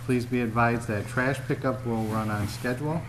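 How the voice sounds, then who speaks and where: A man's voice: medium pitch, moderate pace, noisy background. Man reading formal city announcements. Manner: steady, formal, reads from notes. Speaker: city manager. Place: city commission chamber.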